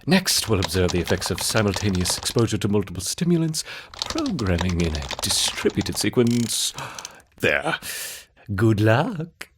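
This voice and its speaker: A man's voice: on the low side, sing-song, moderate pace, background noise. A man playing an unhinged, gleefully cruel scientist in a video game, with theatrical, mock-sweet delivery. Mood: patronising, calculating. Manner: theatrical, mock-sweet, swinging from murmurs to shrieks. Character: unhinged male scientist, gleefully cruel, running insect experiments. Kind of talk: scripted video game voice acting.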